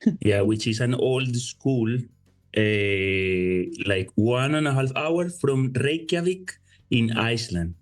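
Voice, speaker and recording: A man's voice: mid-range voice, slow pace, faint music behind. A man from Colombia with a Colombian Spanish accent, a guest youth worker talking reflectively in a casual interview over a remote call. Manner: reflective, thoughtful, says "you know" often.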